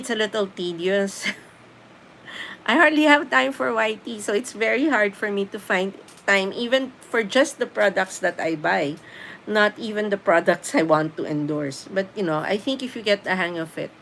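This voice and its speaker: A Filipino woman hosts a relaxed live stream, reading out viewer comments and answering them in a chatty, easygoing way, slipping into Tagalog now and then. Her voice sits in a middle pitch and has a Filipino accent. A faint ambient noise hangs under the recording. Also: unhurried, low-quality recording. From Philippines. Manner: chatty, reads comments aloud and answers, mixes in Tagalog, laughs often.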